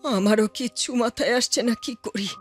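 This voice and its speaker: A woman voicing a slow, motherly older village auntie. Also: fairly high pitch, breathy edge, faint music behind, voice pushed down. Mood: worried. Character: kindly older village auntie, worried egg-selling mother.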